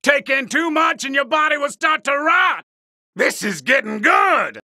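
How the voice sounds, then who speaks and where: A sadistic wolf-man fighter for a video game, sneering and taunting in a harsh, gravelly shout. The pitch is high and swings wildly, and the tone is piercing and forceful. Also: animated, a little slow, dry recording, studio quality, exaggerated voice. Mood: cocky battle shouts. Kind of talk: video game battle voice lines.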